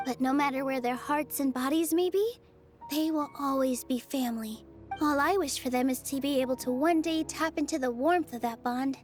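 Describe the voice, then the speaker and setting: An actress playing a gentle young theater dancer in a video game, speaking in an earnest, encouraging way. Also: female voice, high voice, moderate pace, lightly acted. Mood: warm, earnest. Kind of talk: scripted video game dialogue. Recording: background music under the dialogue.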